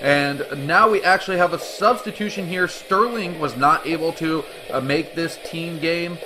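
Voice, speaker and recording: A man's voice: fairly high pitch, moderate pace, noisy background. A man giving upbeat, explanatory play-by-play commentary on a strategy game, with fillers and restarts, background music behind. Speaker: game caster. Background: background music at the start.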